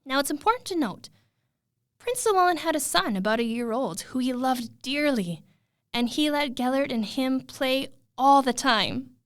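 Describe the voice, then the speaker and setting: A woman retelling a fairy tale as a storyteller, in a dramatic, expressive way with suspenseful pauses. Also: high voice, no echo, studio quality.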